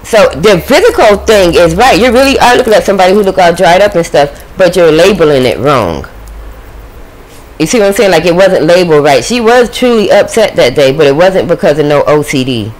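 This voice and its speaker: A woman's voice: on the low side, animated, brisk, poor audio. A woman doing a casual tarot reading at a home table, chatting to the spirit and her viewers. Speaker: tarot reader.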